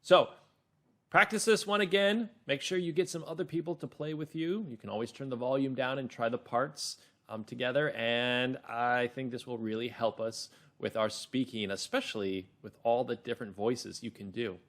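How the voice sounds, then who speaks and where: A man hosting a children's read-along lesson, narrating and explaining words with careful stress, in an animated way. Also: mid-range voice, moderate pace. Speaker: teacher, reading-lesson host.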